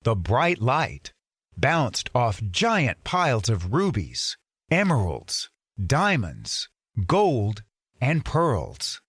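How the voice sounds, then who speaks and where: A man narrating children's fairytales as a storybook reader, measured and expressive. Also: mid-range voice, husky, no room sound, clean studio sound.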